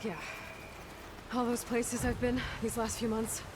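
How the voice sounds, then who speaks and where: A woman's voice: on the high side, level tone, measured pace, noisy background, lightly acted. An actress playing a determined, guarded young woman hunter in a video game, speaking in an earnest, matter-of-fact way. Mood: grim. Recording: game audio under loud music. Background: loud music and game sounds.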